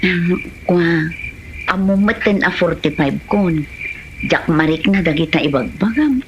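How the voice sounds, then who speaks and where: A woman with an Ilocano Filipino accent playing an excitable, indignant married village woman in an amateur comedy drama, exclaiming and scolding. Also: fairly low pitch, a little slow, background noise. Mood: coy, hesitant. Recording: dull lo-fi audio, music behind.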